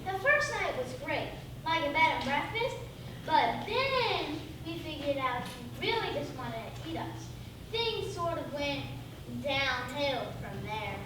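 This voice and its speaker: A woman's voice: sits high, big pitch swings, slow, heavily acted. A woman playing a guileless, food-obsessed storybook child in a theatrical stage comedy. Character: food-obsessed, cheerfully naive storybook child on witness stand. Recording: distant, very echoey, noisy stage recording.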